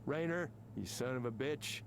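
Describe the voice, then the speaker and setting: A man's voice: mid-range voice, moderate pace, gravelly, background noise, natural voice. An actor playing an angry, self-important tech programmer in his fifties for a video game, ranting with sarcasm and swearing. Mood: defiant, resentful. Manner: combative, sarcastic, profane ranting. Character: angry, embittered, self-important fifty-something male tech company programmer. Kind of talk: naturalistic video game voice acting.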